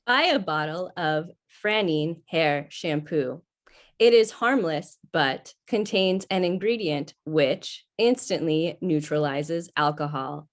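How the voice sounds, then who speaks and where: Helpful machine voice played by a woman, impassive, slow and lowish, vintage radio sci-fi. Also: animated. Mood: placid, helpful. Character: placid, literal-minded helpful talking computer. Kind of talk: 1950s old-time radio acting.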